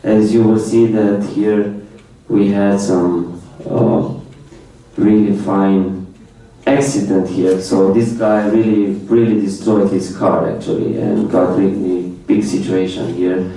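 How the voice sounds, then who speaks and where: A man's voice: low-pitched, dark tone. Young Romanian man presenting in a hall. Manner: plain speech in non-native English with many repetitions, light laughs. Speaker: foreign student presenter. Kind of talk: informal slide presentation. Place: university lecture room. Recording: echoey lecture room, mic at a distance.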